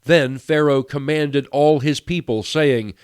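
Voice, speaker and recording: A man reading scripture aloud in a solemn, measured way, pausing deliberately between phrases. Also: mid-range voice, clean tone, no room sound. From United States. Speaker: Bible reader.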